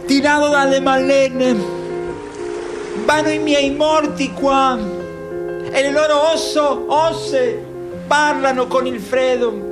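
A man playing an impassioned dreamer-poet in a theatrical radio monologue, declaiming slowly. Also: extremely high pitch, steady tone. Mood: dreamy. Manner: slow, declamatory. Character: impassioned dreamer-poet singer, a migrant at sea. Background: music.

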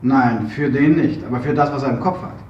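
An actor playing a brisk, vigilant catcher of crooks in a radio drama, with a commanding manner. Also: male voice, mid-range voice, natural voice. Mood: alert, urgent. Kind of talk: 1970s radio drama acting. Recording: dull, echoing hall with background noise.

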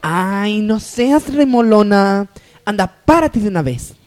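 A woman playing a bossy, lively, teasing sister in a radio soap opera. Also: mid-range voice, slow. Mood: impatient.

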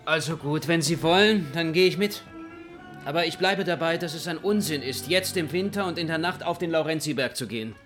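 A man plays a grudging gentleman companion in a radio play. He declares his objections openly in a fairly high voice, over faint music. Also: a little fast, lightly acted. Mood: reluctant, protesting. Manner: loud, declaring. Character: reluctant, grumbling male companion at a party. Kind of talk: literary radio drama acting.

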